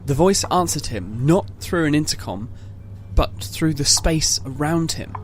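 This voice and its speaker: A man giving a measured, literary narration of a scripted dramatic story. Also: fairly high pitch, animated, slight background noise.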